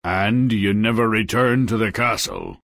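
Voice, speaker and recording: A man playing a roguish, lecherous huntsman in a video game, gravelly and husky, with a slow, sly, menacing manner. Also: fairly low pitch, a bit bright, no room sound, studio quality. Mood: sly, bargaining. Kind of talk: video game character acting.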